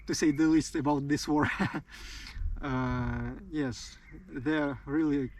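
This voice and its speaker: A man's voice: a little high, steady tone, takes it slowly, far from the mic. A man in his twenties with a Russian accent, answering interview questions in hesitant English and searching for his words. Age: 20s. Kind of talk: casual interview outdoors.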